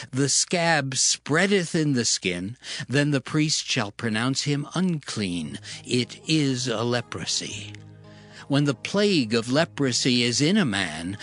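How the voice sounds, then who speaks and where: A man reading scripture as a measured audio Bible narration. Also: medium pitch, a bit bright, no echo, clean studio sound. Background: background music.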